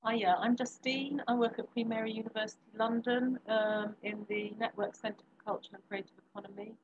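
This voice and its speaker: A woman who works at a university, introducing herself in a friendly, slightly halting way. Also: fairly high pitch, fairly even intonation, a little hiss or hum. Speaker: university staff. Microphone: video call. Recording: dull lo-fi call audio.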